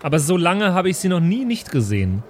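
A man's voice: high-pitched, big pitch swings, brisk. A man speaking as a player in a tabletop role-playing game, with faint noise behind him. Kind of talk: in-character tabletop role-play.

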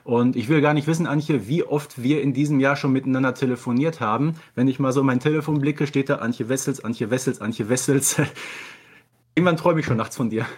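Man, average pitch, informal interview chat, colloquial, jokey podcast co-host. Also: fairly quick, slightly rough.

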